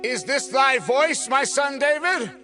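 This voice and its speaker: A remorseful older king, his voice pitched extremely high. He draws out archaic words slowly and with heavy emotion, over clear music in an audio Bible drama. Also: animated. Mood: yearning. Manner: slow, emotional, drawn-out archaic delivery. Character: remorseful older king blessing the man he hunted. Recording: music bed under the voice.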